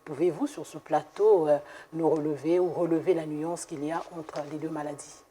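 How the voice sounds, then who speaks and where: A woman's voice: low-pitched, fairly even intonation, normal speed, dark tone, husky. A woman hosting a TV health programme on a studio set, asking questions and echoing her guest's answers. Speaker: TV show host. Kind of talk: TV health interview.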